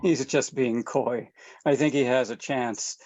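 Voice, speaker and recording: A woman reading the part of a gentle, patient father at a table read, speaking calmly and reassuringly. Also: very deep voice, unhurried. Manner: calm, reassuring. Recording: dull, muffled audio.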